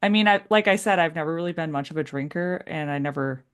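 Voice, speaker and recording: A woman in her early forties with a fairly low voice. She talks in a casual, conversational way as a podcast co-host. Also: fast, smooth. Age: 40s. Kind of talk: casual chat.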